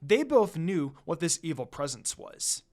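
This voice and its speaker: An amateur story reader narrates dramatically. The delivery has a mid-range pitch that rises and falls widely. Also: big pitch swings, measured pace, darkish tone.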